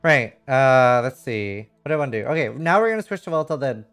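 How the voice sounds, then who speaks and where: A man's voice: mid-range voice, expressive, fast talker, a bit bright, faint music behind. A man doing casual live game commentary, reading chat and thinking aloud in a frank, rambling way with some casual swearing. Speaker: livestreamer.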